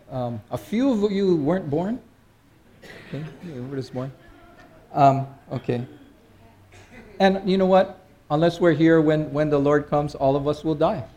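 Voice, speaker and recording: A middle-aged man preaching an informal sermon in a halting, conversational way, with many fillers and restarts. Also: on the high side, darkish tone. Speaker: pastor.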